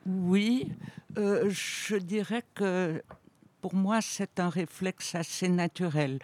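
A woman's voice: fairly low pitch, slow pace, darkish tone, no room sound. A woman of eighty, a guest on a radio interview, recalling her career thoughtfully with frequent hesitations and restarts. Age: 80s.

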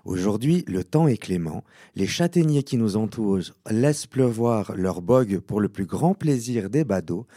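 A man's voice: mid-range voice, dark tone. A man hosting a live radio show, presenting and interviewing.

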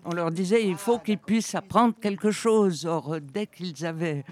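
A woman's voice: lowish voice, measured pace, darkish tone. A woman of eighty, a guest on a radio interview, recalling her career thoughtfully with frequent hesitations and restarts. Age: 80s.

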